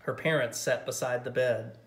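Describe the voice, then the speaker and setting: A man reading a picture book story aloud in a gentle, measured way with dramatic pauses. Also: medium pitch, fairly even intonation, reverberant. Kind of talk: scripted story narration, read aloud.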